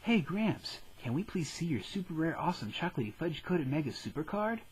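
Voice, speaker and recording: A naive little cartoon schoolboy, put on by a man, eager and childlike. The silly voice is high and swings up and down, with a husky edge. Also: big pitch swings, brisk, heavily acted. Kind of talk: parody anime dub.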